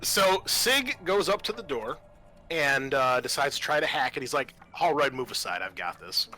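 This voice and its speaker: A man acting as game master, narrating a tabletop roleplaying game to friends and explaining rules in a halting way. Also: medium pitch, lively intonation, sharp tone. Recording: faint music behind the voice.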